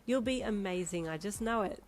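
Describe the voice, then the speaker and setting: A woman's voice: mid-range voice, normal speed, a little mellow, lightly acted. A wry young mother, voiced by a woman storyteller. She is loving and easily embarrassed, her lines played as dialogue in an acted audio story. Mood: warm, encouraging.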